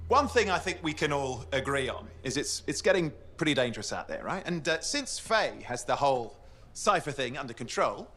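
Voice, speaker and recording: A man's voice: mid-range voice, very animated, normal speed, fairly bright tone. A man playing an eager, nervous young sidekick in a game cutscene, hesitant and stammering. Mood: nervous eagerness. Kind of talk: video game cutscene acting.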